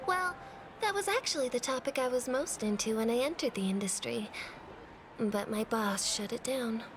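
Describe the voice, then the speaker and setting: A woman plays a level-headed media worker from a video game. The voice is on the high side, frank and conversational. Music runs behind it. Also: moderate pace, lightly acted. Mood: wry, candid.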